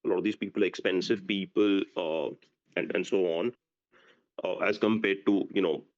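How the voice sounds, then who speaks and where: Male executive, deep voice, halting unscripted answers full of restarts, muffled lo-fi conference line. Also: steady tone. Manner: halting, often restarting phrases. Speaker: company executive answering on an earnings call. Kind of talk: unscripted business Q&A. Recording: dull, lo-fi conference call audio.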